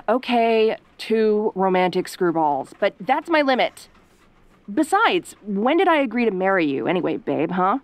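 A woman's voice: medium pitch, big pitch swings, measured pace, no echo. Woman acting sassy, flustered tomboy girlfriend. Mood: bashful. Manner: casual, defensive, sarcastic, hesitant when sentimental. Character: sassy, flustered tsundere tomboy daughter on date night. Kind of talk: audio roleplay acting.

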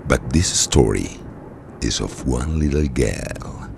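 An actor playing a sinister, courteous storyteller host in an old-time radio horror play, with a theatrical, ingratiating manner. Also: male voice, extremely low pitch, animated, slow, vocal fry, full character voice. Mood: eerily welcoming. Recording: loud storm noise behind voice. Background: thunderstorm.

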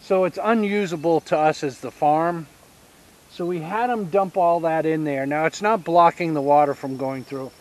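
A man in his fifties with a Southern US accent, giving a casual outdoor tour of his homestead and explaining each step with pauses. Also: a little high. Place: outdoors on rural land by a pine forest. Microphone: action camera held at arm's length.

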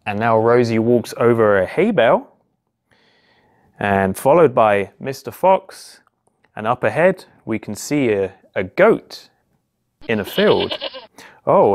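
A man reads a story aloud to young children in simple, expressive narration, posing questions and exclaiming as he goes. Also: mid-range voice, a little slow, slight background noise. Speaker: police constable reading a children's story. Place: small indoor office or home room.

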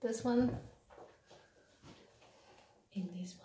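A woman's voice: on the high side, very slow, dark tone, a little hiss or hum. A young woman in her twenties chatting casually to camera at home, easygoing and explaining as she goes; English is not her first language. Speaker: vlogger. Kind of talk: casual vlog chat. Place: home bedroom, indoors.

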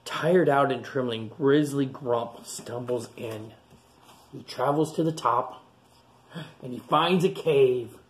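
A man's voice: mid-range voice, slow. A man in his forties, a teacher reading a picture book aloud for children and explaining each page, recorded close on a webcam in a classroom. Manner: animated, explains the story to children between pages. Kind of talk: children's storytime read-aloud. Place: classroom, indoors. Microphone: webcam at close range.

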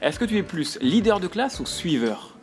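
A man hosting off camera, reading playful quick-fire questions in a brisk manner. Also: high voice, sing-song. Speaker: interviewer host.

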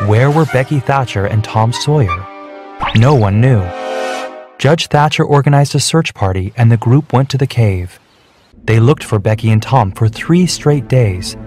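A man reading a children's storybook, clear and measured. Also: medium pitch, lively intonation. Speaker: narrator. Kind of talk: scripted storybook narration. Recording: soft background music.

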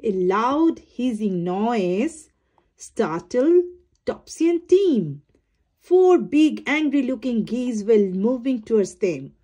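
A woman reading a children's picture book aloud, slowly, with small slips and restarts. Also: fairly high pitch, big pitch swings, dark tone. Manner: slow, sing-song storytelling with small slips and restarts. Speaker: storybook reader.

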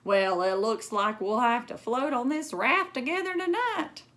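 A woman voicing a blustery, folksy old grandfather in a children's storybook, with drawn-out exclamations. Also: on the high side, fairly bright tone, voice pushed up.

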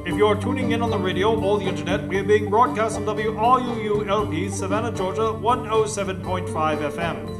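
Male announcer, high voice, scripted radio read, loud country guitar music behind. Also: brisk, hardly any pauses.

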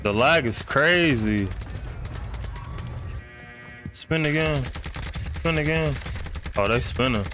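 Slow, laid-back male game streamer. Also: medium pitch, lively intonation, over music. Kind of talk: casual live gaming commentary.

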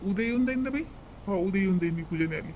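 High-pitched village priest played by a man. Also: expressive, racing, darkish tone, slight background noise. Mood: obliging. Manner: instructing, repeats his directions. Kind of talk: comedy cartoon dialogue.

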